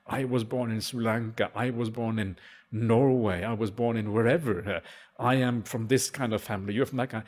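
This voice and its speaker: A man with a husky voice and a middle pitch answers questions at a monastery meditation retreat. He speaks gently and haltingly, pausing often on "uh" fillers between his thoughts. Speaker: Buddhist monk answering questions. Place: retreat hall at a forest monastery.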